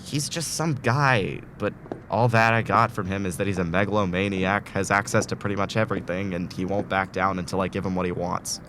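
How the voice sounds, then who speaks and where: A man's voice: low-pitched, fairly even intonation, fairly quick, noisy background, natural voice. A man acting a desperate, tormented patient in a student audio drama, speaking in a weary, urgent, rambling way. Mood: exhausted, bitter. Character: desperate, tormented man trapped in torture loop.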